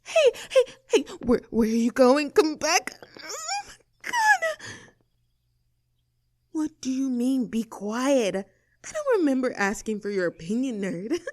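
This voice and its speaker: A woman's voice: sits high, wildly swooping pitch, takes it slowly, pauses often, no room sound, studio quality, full character voice. A woman playing a snooty, abrasive young mean girl in an audio roleplay drama, sarcastic and indignant as she talks straight at the listener. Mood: outraged, demanding, then indignant, whiny.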